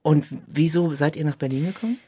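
A woman's voice: deep voice, brisk, warm tone, slightly rough, no echo, studio quality. A woman hosting a casual podcast interview in an informal, jokey, teasing way.

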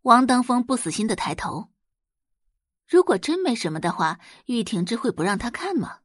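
A woman's voice: on the high side, big pitch swings, normal speed, no room sound, studio quality. A woman reading scripted dramatic narration as an audiobook narrator.

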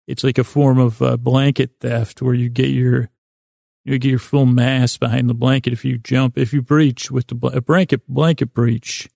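A man hosting a sleep podcast, meandering through a halting, self-correcting bedtime monologue in a creaky voice. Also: medium pitch, little pitch movement, mellow, no echo, studio quality.

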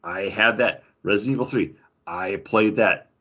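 A man's voice: on the low side, moderate pace, sharp tone, muffled recording. A man co-hosting a podcast in casual pop culture chat, rambling along and often quoting his own thoughts with plenty of filler "like". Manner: rambling, self-quoting, peppers talk with "like". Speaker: podcast co-host.

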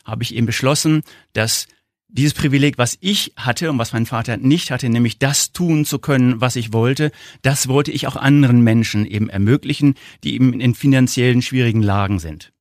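Male interview guest, 50s, North German accent, mid-range voice, measured and explanatory, close dry sound. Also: mid-range voice, no room sound. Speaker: interview guest, foundation founder. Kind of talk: conversational interview answers.

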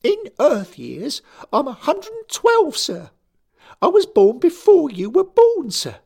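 A man voicing a sulky, furious 13-year-old boy ghost who shouts shrilly and calls everyone sir. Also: very high-pitched, huge pitch swings, slow pace, vocal fry, pitched above the performer's own voice, exaggerated voice. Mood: indignant.

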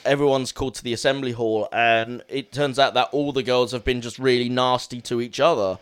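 A man's voice: mid-range voice, steady tone, fairly quick, smooth. Rambling Essex man. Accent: Essex English. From Essex, England. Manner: rambling, laughs easily, swears casually. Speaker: podcast co-host. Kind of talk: casual film chat.

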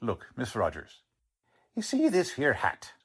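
A man's voice: mid-range voice, huge pitch swings, measured pace, a bit bright, breathy edge, exaggerated voice. A man playing a stubborn, cocksure working-class police lieutenant in an old-time radio drama, talking in tough, ungrammatical slang. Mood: cocksure, blustering.

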